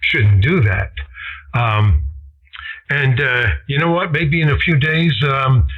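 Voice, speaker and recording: A man's voice: medium pitch, takes it slowly, narrow, tinny audio. A man chatting casually about technical matters as an amateur radio operator. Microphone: radio station microphone. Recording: band-limited ham radio transmission.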